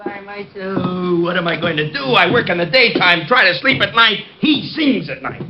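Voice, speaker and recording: An actor playing a grouchy, scheming neighbor who mutters and complains in fussy indignation, in old-time radio style. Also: male voice, high voice, animated, normal speed. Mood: exasperated. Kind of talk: old-time radio comedy-detective acting. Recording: noisy, muffled old radio recording.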